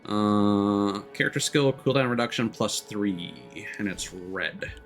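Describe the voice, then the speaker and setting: A man's voice: lowish voice, slow, faint music behind. A man giving casual, off-the-cuff commentary as he streams a video game. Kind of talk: casual let's-play commentary.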